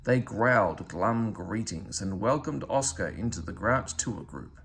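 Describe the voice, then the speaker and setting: Creaky-voiced man reading a children's story. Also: on the low side, lively intonation, measured pace, a bit bright. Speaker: storybook reader. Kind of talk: expressive children's read-aloud narration.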